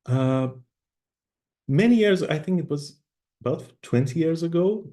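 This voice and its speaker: A middle-aged man, a native Persian speaker, giving an online conference talk in an emphatic lecturing manner with pauses and fillers. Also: on the high side, animated, dark tone. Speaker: guest speaker.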